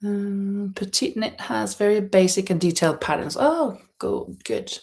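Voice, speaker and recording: A woman's voice: medium pitch, measured pace, a little mellow. A woman from Norway with a Norwegian accent, hosting a casual livestream and chatting in a rambling, hesitant way as she reads out viewer comments. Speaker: livestream host. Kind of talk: casual chat while knitting, reading out viewer comments. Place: indoors at home in a holiday stay.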